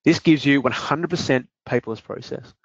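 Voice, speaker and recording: A man presenting and walking through a software demonstration in a steady explanatory way, with occasional fillers. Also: mid-range voice, normal speed, husky, no echo. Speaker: product presenter. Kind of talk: software demo walkthrough. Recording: dull dry lo-fi audio.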